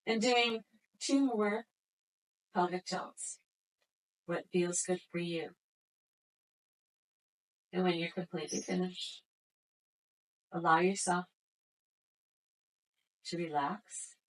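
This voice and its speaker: Gentle, slow-paced female yoga instructor. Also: fairly low pitch, steady tone, low-quality recording. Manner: slow, gentle, soothing cues. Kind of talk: guided exercise instruction.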